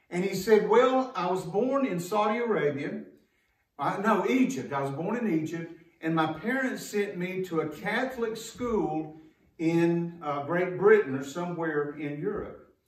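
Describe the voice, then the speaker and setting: A man preaching a sermon as a pastor, in an emphatic, folksy way with rhetorical questions. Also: sits high. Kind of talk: sermon to a congregation. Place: church sanctuary, indoors at a wooden pulpit. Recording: echoey room, distant microphone.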